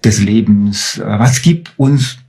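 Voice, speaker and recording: A man's voice: on the low side, slow pace, a little mellow. A man from China, speaking German with a Chinese accent as a non-native speaker, answering interview questions in a thoughtful, reflective way. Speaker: podcast guest.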